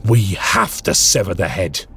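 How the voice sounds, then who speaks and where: An actor playing a stern, zealous space marine commander in a video game, speaking in grand, solemn oratory. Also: male voice, low voice, sing-song, measured pace, vocal fry, a bit bright, breathy edge, exaggerated voice. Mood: grave, brooding. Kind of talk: dramatic video game cinematic acting. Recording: dramatic music behind the voice.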